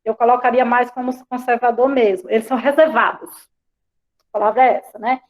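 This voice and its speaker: A woman's voice: fairly high pitch, fairly even intonation, a little fast. Talkative Bahian woman teacher. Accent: Bahian Portuguese. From Bahia, Brazil. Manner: conversational, frequent tag questions. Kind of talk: online class lecture. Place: home, online class. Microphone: video call.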